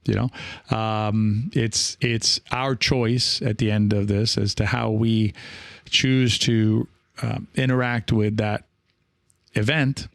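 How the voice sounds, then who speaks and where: A man talking casually as a podcast host and coach, reflective and encouraging, halting now and then and often checking in with the listener. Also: on the low side, level tone, creaky, no echo, clean studio sound. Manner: reflective, halting, with frequent rhetorical check-ins.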